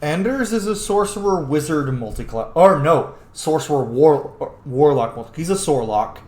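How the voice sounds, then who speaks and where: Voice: medium pitch, lively intonation, moderate pace, warm tone. A video game streamer giving casual let's play commentary, playful and sweary, often thinking aloud. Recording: echoey room with faint noise.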